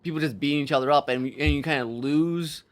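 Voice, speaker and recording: A man co-hosting a podcast, casually discussing films and storytelling as he thinks out loud. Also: fairly high pitch, fast talker. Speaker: podcast co-host.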